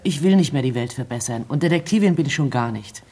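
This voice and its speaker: An actress playing a brisk, wry woman journalist who asks direct questions in a naturalistic radio drama. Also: extremely low pitch, animated, a little mellow, slightly rough, heavily acted. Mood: wry, cool. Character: assertive Parisian woman journalist, wry and probing.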